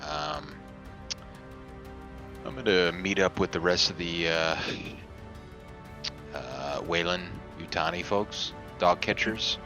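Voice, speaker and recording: Male player, extremely deep voice, relaxed and hesitant, music clearly behind. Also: takes it slowly, pauses often. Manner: laid-back, hesitant, swears casually. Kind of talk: casual tabletop game chat.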